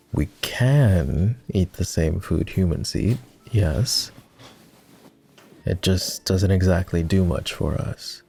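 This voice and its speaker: Husky, low, slow-spoken gentleman vampire acted by a man. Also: heavily acted. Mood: amused, courteous. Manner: formal, courteous, hesitant, measured phrasing. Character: polite, self-deprecating, gently teasing ancient male vampire. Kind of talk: roleplay ASMR voice acting, intimate and soft.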